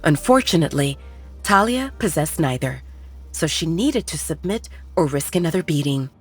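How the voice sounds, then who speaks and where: A woman's voice: deep voice, lively intonation, moderate pace, slightly rough. A woman narrating a scripted romance audiobook in a dramatic storytelling style. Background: faint background music.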